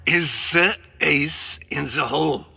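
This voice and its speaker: An actor playing a sinister, clinical interrogator doctor in a video game, with a deliberate, theatrical, coolly menacing delivery. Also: male voice, on the high side, creaky, pushed and bright, breathy edge, heavily acted.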